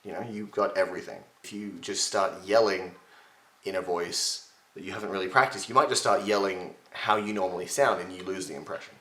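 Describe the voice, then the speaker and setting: A young man talks to his webcam in a room at home, his fairly low voice a little gravelly and strained. He explains things in a loose, chatty way and pokes fun at himself. The room adds a noticeable echo. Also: fairly even intonation, fairly quick, pushed and bright. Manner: chatty, self-mocking, gestures with his hands. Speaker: voice impression tutor. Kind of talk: casual webcam tutorial. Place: home room with shelves, indoors. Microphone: webcam at desk distance.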